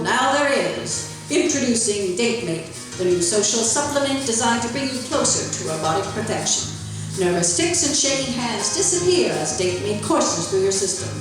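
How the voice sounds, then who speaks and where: Female radio-play announcer, fairly high voice, scripted, distant mic in a very echoey room. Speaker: narrator and sponsor announcer. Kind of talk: scripted old-time radio narration and mock advert. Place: library meeting room, live performance. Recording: roomy echo, distant mic, music.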